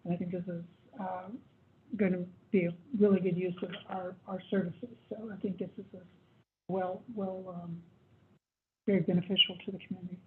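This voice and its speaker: A woman's voice: lowish voice, flat delivery, brisk. A woman speaking as a city councilor in a hesitant way, repeating words as she comments. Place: council chamber. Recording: tinny phone-like sound with faint noise.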